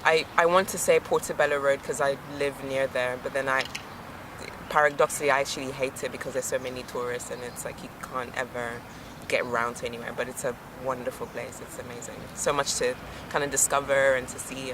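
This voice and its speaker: A woman's voice: extremely low pitch, level tone, a little fast. A young woman from Ghana, a drama student, chatting casually in a street interview, laughing often and trailing off. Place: outdoors on a busy city street. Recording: street traffic noise behind.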